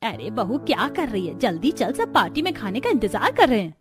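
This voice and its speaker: A woman's voice: a little high, sing-song, brisk, over music. A woman playing a sensible older mother-in-law who talks in a coaxing, familiar way. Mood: urgent.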